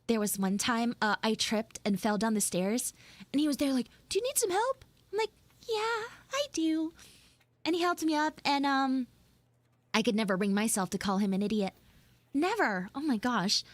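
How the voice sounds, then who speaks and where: A woman voicing a flustered young tsundere schoolgirl in a live audio roleplay, halting, awkward and defensive. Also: a little high, expressive, no room sound, close to an everyday voice. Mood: flustered, chatty. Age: young, school student. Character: flustered tsundere frog girl, failing art student.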